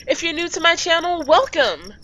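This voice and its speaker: A woman's voice: very high voice, big pitch swings, normal speed, bright, forceful tone. A woman hosting a gaming channel, chatting casually and reacting aloud as she plays a visual novel. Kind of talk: casual let's-play commentary and reading aloud of a visual novel. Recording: very noisy home recording.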